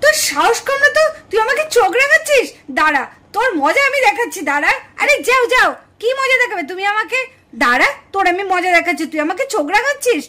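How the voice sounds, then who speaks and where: A woman's voice: extremely high pitch, big pitch swings, quick pace, sharp tone, heavily acted. A woman voicing a quarrelsome, indignant village daughter-in-law in a puppet cartoon family drama. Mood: angry, defiant. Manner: scolding, indignant, exclamatory.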